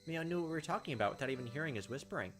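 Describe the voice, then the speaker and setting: Young guy doing a visual novel playthrough, reads lines n jokes dryly, soft music n crickets behind. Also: on the high side, animated, rapid-fire. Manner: casual, wry. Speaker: let's player.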